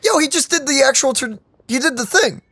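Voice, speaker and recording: A woman's voice: high-pitched, expressive, brisk, a bit bright, no echo. A woman doing casual, slangy let's-play commentary, often mock-appalled. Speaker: gaming channel co-host.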